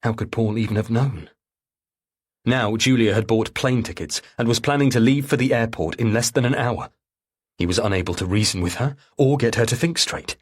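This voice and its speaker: A man's voice: medium pitch, normal speed. A man reading a thriller audiobook as narrator, in a dramatic scripted style.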